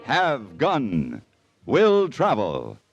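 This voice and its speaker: A man announcing an old-time radio western in a dramatic, measured style. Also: medium pitch, wildly swooping pitch, highs cut off. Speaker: program announcer. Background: loud guitar theme music.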